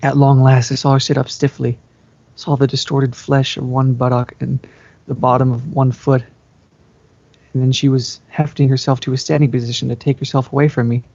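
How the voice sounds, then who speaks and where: A man's voice: mid-range voice, level tone, moderate pace, creaky, a little mellow. A young man giving a playful, theatrical amateur reading of a story. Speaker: amateur fan fiction reader. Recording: muffled, echoey home recording.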